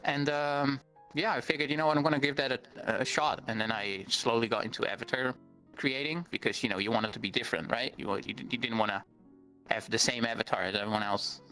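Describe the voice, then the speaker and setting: A man whose first language is Dutch, a guest on an interview, explaining things earnestly with many pauses and ums. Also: medium pitch, steady tone, pushed and bright, soft background music, poor audio. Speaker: guest streamer. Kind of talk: interview conversation.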